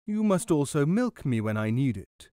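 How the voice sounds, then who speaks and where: A man voicing a placid, practical female cow in a storybook, with a drawn-out, mooing manner. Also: a little high, very animated, mellow, clear, resonant tone, dry recording, studio quality. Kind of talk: storybook character voice.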